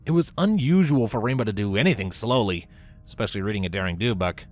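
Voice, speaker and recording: A man's voice: on the low side, lively intonation, quick pace, soft background music. A man giving scripted narration of a fan fiction story as the narrator.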